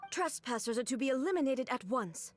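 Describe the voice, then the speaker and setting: A woman voicing a cold, artificial ruler in a game cutscene, speaking in a flat, formal, commanding way. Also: sits high, a little fast.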